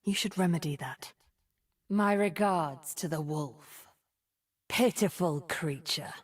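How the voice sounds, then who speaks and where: An actress playing a cold, scheming sorceress from a video game, speaking in a measured, imperious, disdainful way with a breathy, whispery voice. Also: female voice, lowish voice. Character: cold, scheming sorceress mastermind, smooth and menacing. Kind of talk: video game character voice lines.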